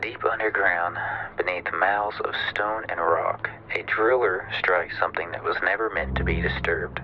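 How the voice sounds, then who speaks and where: A man playing a sinister late-night horror radio host, speaking in an ominous, theatrical and drawn-out way. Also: sits low, dull audio, a little hiss or hum.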